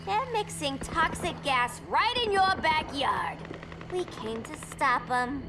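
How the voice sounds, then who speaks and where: A woman's voice: high-pitched, lively intonation, slow, music in the background, exaggerated voice. An actress voicing a brash, wisecracking young woman antihero with a brassy, playful delivery.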